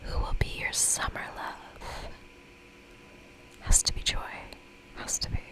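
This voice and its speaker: A woman whispering in a breathy, gentle, reassuring and chatty way as she hosts an ASMR game playthrough. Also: slow pace. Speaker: host of an ASMR gaming channel.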